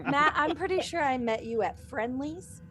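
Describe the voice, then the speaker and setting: A woman's voice: high voice, lively intonation, moderate pace, over music. A woman in casual group conversation as a podcast cast member, speaking informally and a little hesitantly. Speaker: podcast cast member reading fan questions. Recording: clearly audible background noise.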